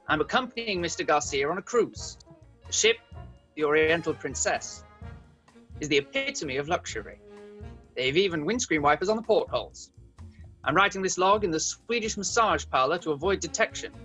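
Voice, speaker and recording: Actor playing a bright-voiced bumbling deadpan detective. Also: mid-range voice, rough recording. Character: bumbling male undercover detective, earnest and pompous. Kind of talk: comic detective log narration, radio play.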